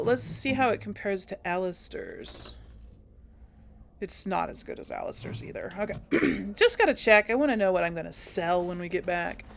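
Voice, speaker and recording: A woman's voice: medium pitch, gravelly, a little hiss or hum. A young adult woman giving casual commentary on a game she plays, reacting aloud with laughs and wry remarks. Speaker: let's play host.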